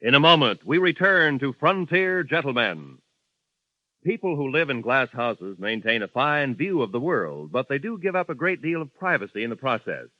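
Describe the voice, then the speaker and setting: A man announcing a station promo in a smooth, formal 1950s broadcast style. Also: mid-range voice, big pitch swings, normal speed, no echo. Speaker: network announcer. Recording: dull lo-fi 1950s radio recording.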